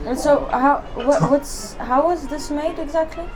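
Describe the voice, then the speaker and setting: A woman's voice: high-pitched, a little slow, music in the background. A young woman from Belgium, raised with Dutch and French, chatting casually and laughing often over dinner. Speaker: guest. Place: busy izakaya restaurant. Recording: noisy restaurant crowd behind.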